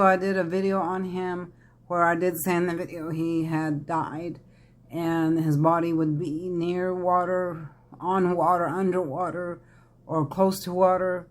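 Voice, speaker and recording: An older woman talks through a tarot reading in a loose, chatty way, calling her viewers "y'all." Her voice is raspy and crackly, and it sounds thick and congested from a head cold. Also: fairly low pitch. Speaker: tarot reader. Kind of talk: casual psychic reading vlog.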